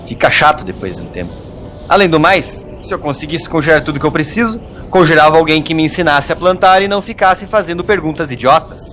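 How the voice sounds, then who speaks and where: A man with a Brazilian accent playing a gruff, insulting hermit monk with magic powers in an audio story. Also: on the high side, lively intonation, fast, fairly bright tone, slight background noise. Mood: mocking, irritated. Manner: gruff, scornful, exasperated. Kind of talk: audio drama acting.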